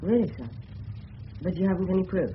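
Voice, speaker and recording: Someone acting well-mannered english teen lad, earnest and candid, old radio play, muffled with a bit of hiss. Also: female voice, on the low side, animated, fairly quick, lightly acted. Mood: curious. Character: polite, observant teenage English schoolboy. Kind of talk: 1970s radio drama acting. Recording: dull, noisy old radio broadcast recording.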